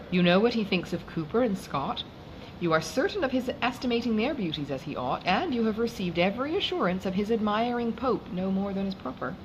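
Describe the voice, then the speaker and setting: Woman reading as a composed, drily teasing young gentlewoman. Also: medium pitch, slight background noise, poor audio. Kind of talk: audiobook character dialogue.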